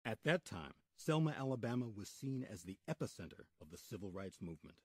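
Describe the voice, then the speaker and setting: A man tells history like a radio storyteller, with a husky voice and lively swings in pitch. The delivery is scripted, dramatic and emphatic. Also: a little fast, soft background music. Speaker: narrator, storyteller. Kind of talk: scripted old-time radio style narration.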